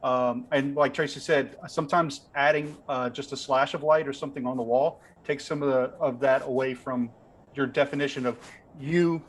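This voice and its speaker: A man giving an informal, conversational explanation as a webinar panelist, softening his points as he goes, speaking from a room at home. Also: medium pitch, fairly even intonation, moderate pace. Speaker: panelist on lighting gear.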